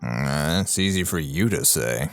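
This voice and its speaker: A grown man voiced by the narrator in a comic story reading. He is low-pitched and grumbles with dry, fed-up sarcasm. His intonation moves a lot, and music plays underneath. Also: lively intonation, unhurried, exaggerated voice. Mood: grumbling, sarcastic. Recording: music behind the voice.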